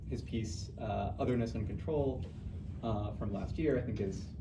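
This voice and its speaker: A man hosting a talk, introducing a speaker informally and off the cuff, halting and restarting his phrases. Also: mid-range voice. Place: indoor room at an in-house talk. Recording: echoey room, distant mic, noisy background.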